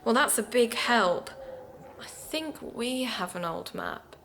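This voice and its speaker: An actress playing a curious, polite podcast investigator questioning a witness in an audio drama. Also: female voice, fairly high pitch, animated, slow, vocal fry, fairly bright tone, slight background noise, exaggerated voice. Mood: inquisitive, polite. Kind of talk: naturalistic audio drama interviewing.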